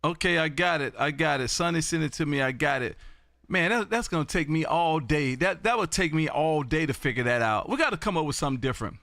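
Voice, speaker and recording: An American man hosting a live amateur radio session, chatting and calling for contacts in an animated, folksy, booming way. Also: fairly quick, gravelly, dry recording, clean studio sound.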